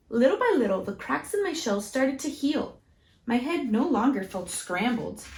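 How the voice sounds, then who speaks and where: Woman as an earnest, high-pitched storybook egg. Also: roomy sound, a little hiss or hum, heavily acted. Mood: hopeful. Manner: expressive storybook delivery. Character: earnest, dutiful, anxious good egg telling its story.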